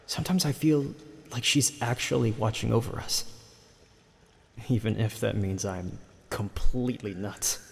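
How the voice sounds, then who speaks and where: A man's voice: on the low side, vocal fry. A man playing a young turtle warrior and dutiful son in an audio drama, earnest, hesitant and respectful. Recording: long reverberant hall echo.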